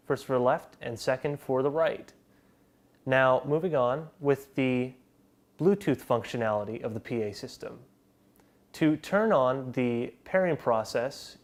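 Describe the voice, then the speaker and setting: A young adult man presenting an instructional tutorial, explaining step by step in a measured way. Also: medium pitch, a little mellow. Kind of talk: instructional narration of an equipment tutorial.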